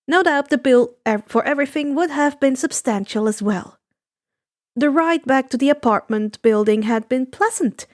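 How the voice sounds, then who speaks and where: A woman's voice: sits high, dry recording, clean studio sound. A woman reading fan fiction aloud as a scripted narration. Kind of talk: scripted storybook narration with character voices.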